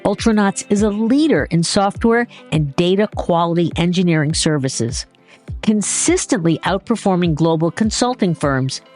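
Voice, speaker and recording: A woman hosting a podcast, reading a warm upbeat introduction and then interviewing her guest with enthusiasm. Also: lowish voice. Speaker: podcast host.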